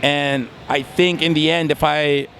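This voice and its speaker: A man's voice: fairly high pitch, flat delivery, unhurried, fairly bright tone, slight background noise. A man in his twenties from Mexico, speaking in a casual interview outdoors, earnest and reflective with many fillers. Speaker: interviewee, competitive gamer and law student.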